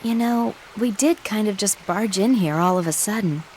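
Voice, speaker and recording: An actress playing a confident, friendly young rebel guide in a video game, brisk and reassuring. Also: female voice, mid-range voice, natural voice, rough recording. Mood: thoughtful, reassuring. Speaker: voice actor. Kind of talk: English video game dub acting. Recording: very loud rushing background noise.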